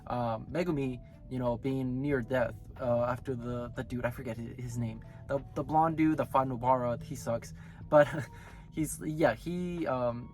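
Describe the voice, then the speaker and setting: A man hosting his own anime review channel, talking casually while sick, so his voice comes out hoarse and raspy as he rambles and corrects himself. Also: mid-range voice, fairly even intonation, unhurried, a little mellow, faint music behind.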